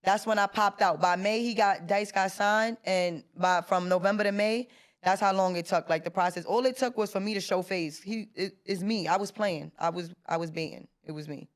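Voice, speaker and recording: A woman's voice: medium pitch, normal speed. A woman in her late twenties, a rapper guest in an interview, talking casually in street slang and often checking that she is understood. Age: 20s. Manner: laid-back street slang, keeps asking "you get what I'm trying to say".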